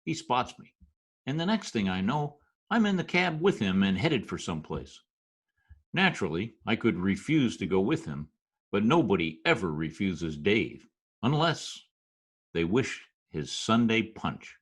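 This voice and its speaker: A man acts a wry New street storyteller with a rough-edged voice. His pitch moves around in animated swings while his wit stays dry, in the manner of old-time radio. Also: a little slow, heavily acted. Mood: wry storytelling. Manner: wry, deadpan. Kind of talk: old-time radio drama narration and dialogue. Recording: slightly rough remote video-call audio.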